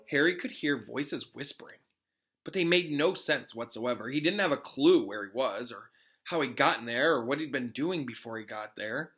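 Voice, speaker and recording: A man, a teacher, reading a story aloud to students in an expressive, suspenseful storytelling manner. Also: mid-range voice, a little hiss or hum. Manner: expressive, suspenseful, pauses to question listeners. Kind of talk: dramatic storybook read-aloud.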